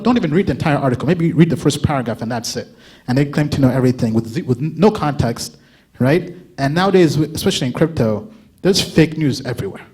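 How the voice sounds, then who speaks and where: A young man giving a casual conference talk, often checking in with a quick "right?" and restarting his sentences. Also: fairly high pitch, darkish tone, breathy edge. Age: young adult. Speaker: crypto analyst giving a talk.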